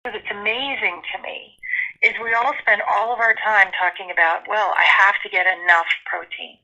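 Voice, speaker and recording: A woman's voice: mid-range voice, steady tone, normal speed, bright, forceful tone. A woman giving informative, emphatic explanations as a nutrition expert in a telephone interview, backing her points with figures and examples. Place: telephone call. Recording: dull lo-fi telephone interview audio.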